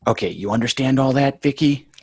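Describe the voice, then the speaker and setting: An exasperated, sarcastic schoolboy from a sitcom, read by a man in a medium-pitched voice. He bosses people about with dry wisecracks, and the sound is close and dry. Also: fairly quick, a little mellow, husky, no room sound. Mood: scheming. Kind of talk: live sitcom table read.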